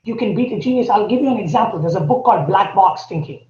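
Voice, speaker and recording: High-voiced Indian man, echoey room. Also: expressive, a little mellow. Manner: earnest, emphatic, lists points deliberately. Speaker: guest. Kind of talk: podcast interview. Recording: echoey, dull remote audio.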